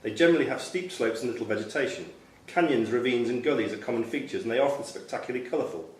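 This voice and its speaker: A man's voice: mid-range voice, rapid-fire. A man acting as quiz master, reading out questions and scores to a room. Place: club room at a pub-style quiz event. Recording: echoey room, distant mic, faint noise.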